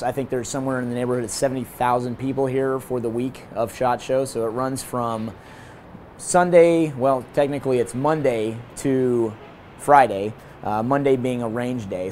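A man in his thirties with a hoarse voice, hosting a casual gear review vlog from a hotel room and balcony. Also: mid-range voice, slight background noise. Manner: energetic, talks with his hands. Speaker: host.

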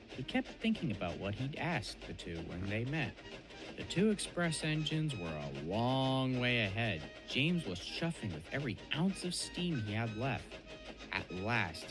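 A man's voice: medium pitch, natural voice. A man giving scripted narration of a children's story. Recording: music behind the voice.

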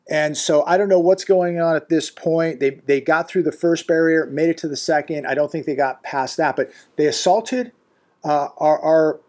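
Alarmed man's emphatic commentary monologue. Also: fairly high pitch. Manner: alarmed, emphatic, repetitive with frequent restarts and fillers. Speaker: commentary vlogger. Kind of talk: unscripted news commentary monologue. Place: living room indoors.

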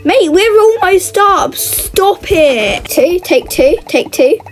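Female gaming host, high voice, casual and exclamatory, thinking aloud, faint music underneath. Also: lively intonation, slow pace. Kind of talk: casual gameplay commentary.

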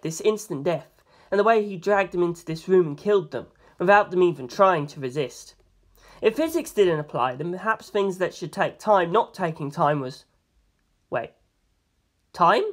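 A narrator reading scripted first-person fiction aloud in an audiobook style. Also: medium pitch.

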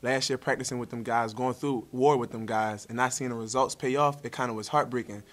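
A man's voice: mid-range voice, fairly even intonation, a little fast. A young man, a college basketball player, answering questions at a post-game press conference in an upbeat, casual way, seated at a table mic. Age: young adult. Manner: upbeat, easygoing, casual slang. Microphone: table mic in front.

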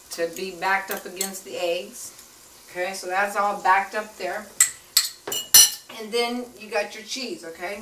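A woman's voice: medium pitch, background noise. A woman hosting a home cooking show, chatty and folksy, often checking in with "okay?" as she demonstrates, with pans sizzling behind her. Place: home kitchen. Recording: echoey kitchen, voice from far off, loud cooking clatter. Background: sizzling pans and clinking utensils.

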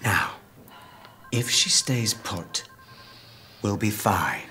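A man's voice: fairly low pitch, animated, drawn-out words, sharp tone, soft background music, exaggerated voice. A man playing a harried middle-aged radio producer, flippant, sarcastic and evasive. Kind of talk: naturalistic screen comedy-drama acting. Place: small office.

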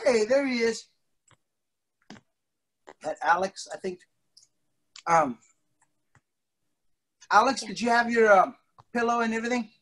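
Slow-paced middle-aged man, playful kids' storyteller. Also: very high-pitched, poor audio. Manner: animated, teasing, with exaggerated surprise and drawn-out words. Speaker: martial arts instructor telling stories to a kids' class. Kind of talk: playful interactive storytelling to young children. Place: indoor studio, on a video call. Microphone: device mic at a short distance on a video call. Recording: video call with background noise and clicks.

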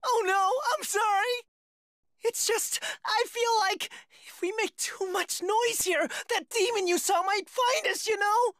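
A man's voice: very high-pitched, unhurried, fairly bright tone, no echo, clean studio sound. A man voicing a cowardly, whiny teenage boy swordsman in an anime dub, tearful and strained, wailing and stammering. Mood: anxious, pleading.

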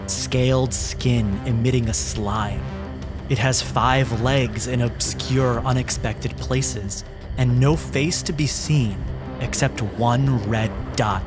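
A man narrating a tabletop game as game master, in a dramatic, deliberate, descriptive storytelling manner. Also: medium pitch. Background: music behind the voice.

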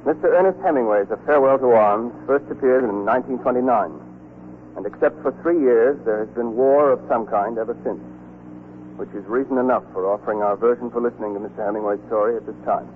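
A man's voice: mid-range voice, fast talker, slight background noise. A man hosting and narrating a scripted 1940s radio drama. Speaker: host and narrator. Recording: tinny, muffled 1940s radio broadcast.

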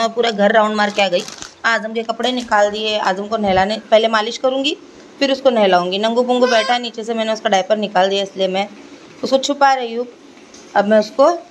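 A young woman talks casually to her viewers from her home kitchen, chatty and relaxed as she walks through her errands and cooking. Her voice sits a little high. A faint hiss of room noise runs underneath. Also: reverberant, distant mic. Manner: chatty, talks to her baby in a sing-song coaxing way. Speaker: family vlogger. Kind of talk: casual home vlog chat.

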